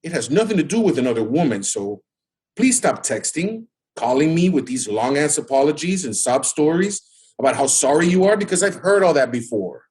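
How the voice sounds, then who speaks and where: A man's voice: on the high side, lively intonation, moderate pace, darkish tone, slightly rough, low-quality recording. A man acting in an audio drama as a hurt, exasperated young father rebuffing his ex. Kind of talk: naturalistic scripted audio drama.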